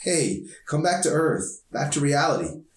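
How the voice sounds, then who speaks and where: A man reads as a spirited teenage girl in a storybook, teasing and sarcastic. The voice sits higher than his usual narration, in an echoey room at some distance from the mic. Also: sing-song, measured pace. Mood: concerned, prodding.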